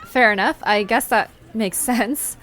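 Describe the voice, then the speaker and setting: A woman's voice: fairly high pitch, a little slow, fairly bright tone, some chatter behind. A woman playing a blunt, easily flustered young amateur investigator in an audio drama, with a hesitant, sardonic way of talking. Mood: awkward. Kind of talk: audio drama dialogue, conversational.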